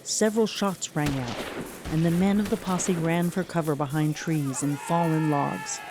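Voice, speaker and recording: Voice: medium pitch, moderate pace, background noise. A narrator with an American accent reading scripted narration for a radio drama.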